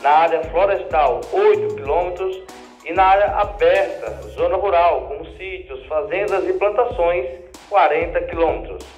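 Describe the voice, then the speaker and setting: Man, high voice, scripted spec narration, roomy muffled sound, light music. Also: animated, moderate pace, a little mellow.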